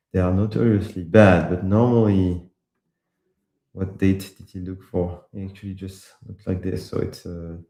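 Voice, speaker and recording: A man from France with a French accent, casually walking through a live software demo, with many pauses and restarts. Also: low-pitched, reverberant. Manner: casual, hesitant with frequent uh and restarts. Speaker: presenter demoing apps. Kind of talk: live tech demo walkthrough.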